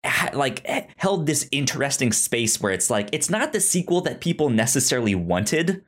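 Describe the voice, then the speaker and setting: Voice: medium pitch, sing-song, normal speed. A podcast co-host chatting casually about films, often stammering and repeating words in a hesitant way. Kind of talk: casual film discussion.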